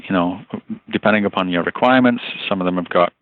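Low-voiced guy w faded liverpool accent, explains things calmly, thin phone-line sound. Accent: faded Northern English, Liverpool base, with a Canadian mix. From Liverpool, England. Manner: measured, explanatory, with small restarts. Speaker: association chief executive, interview guest. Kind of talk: conversational interview answers.

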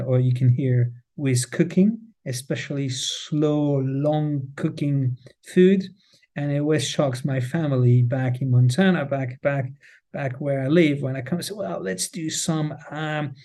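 A middle-aged Swiss man whose first language is French talks English in an interview, his voice mellow and relaxed at an ordinary pitch. He often repeats a word while he searches for the next one. His French accent colours every line. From Switzerland. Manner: restarts and repeats words, passionate emphasis. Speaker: guest, cheese marketing head. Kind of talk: podcast interview. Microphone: remote, on the road.

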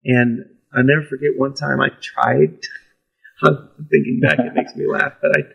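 A man's voice: medium pitch, steady tone, moderate pace, vocal fry, breathy edge, dull audio. A pastor, a man, telling candid stories about his preaching in a self-deprecating way, laughing easily. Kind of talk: candid conversational storytelling.

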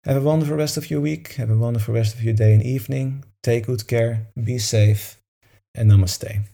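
A man's voice: medium pitch, fairly even intonation, moderate pace, gravelly, warm tone. A man leading a meditation session, talking informally to a group in a soothing, encouraging manner.

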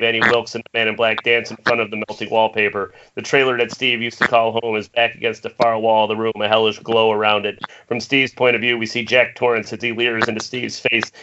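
Man, screenplay narration, bright tense tone, medium pitch, lo-fi internet call. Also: little pitch movement, a little fast, few pauses, a little hiss or hum. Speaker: reader of stage directions.

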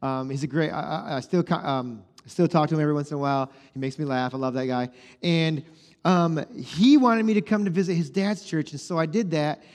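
A man's voice: fairly high pitch, fairly quick, darkish tone. Pastor in his forties, echoing hall. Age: 40s. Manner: conversational storytelling, acts out dialogue. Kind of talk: sermon. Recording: long hall reverb.

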